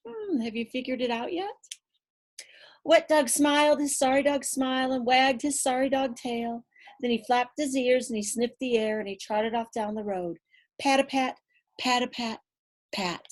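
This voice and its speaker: A woman storyteller reading a picture book to children, playful and rhythmic, with chatty asides. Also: fairly high pitch. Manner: playful, drawn-out, rhythmic delivery. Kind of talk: animated picture-book read-aloud with asides to young listeners.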